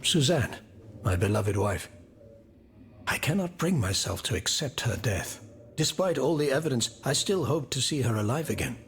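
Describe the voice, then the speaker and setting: A man's voice: medium pitch, animated, moderate pace, natural voice. Guy playing a grieving minister in a game, proud and formal, plaintive, noisy ambience behind. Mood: anguished, pleading. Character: anguished, proud former French royal minister, mourning his wife. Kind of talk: video game cutscene acting.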